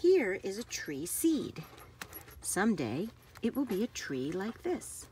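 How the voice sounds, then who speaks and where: A woman reading a children's book aloud with careful, expressive phrasing for young listeners. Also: medium pitch, slow pace, a little hiss or hum.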